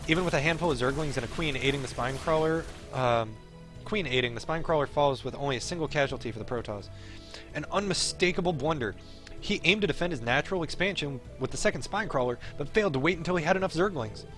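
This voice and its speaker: A man's voice: on the high side, expressive, quick pace, fairly bright tone. A man reading a visual novel aloud on a stream, in dramatic narration with an esports commentary flavour. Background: faint game music.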